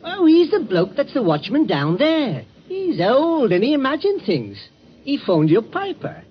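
A jaunty Cockney night watchman chats and jokes in a lively, up-and-down voice. The old radio recording sounds dull and is full of hiss. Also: extremely high pitch, a little slow, exaggerated voice. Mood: joking. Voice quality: jaunty, folksy. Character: chatty joking male Cockney night watchman. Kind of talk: 1940s radio acting.

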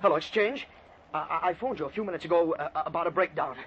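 Radio play: male actor as nervous, jealous husband, high voice, halting bluster, hissy recording. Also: natural voice. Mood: uneasy. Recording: dull lo-fi radio recording, background hiss.

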